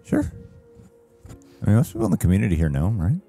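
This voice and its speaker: A man's voice: fairly low pitch, sing-song, quick pace, warm tone, faint music behind. A man in his thirties musing thoughtfully as a co-host of a reaction show, talking into a headset in a small room. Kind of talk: casual reaction chat. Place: small streaming room indoors.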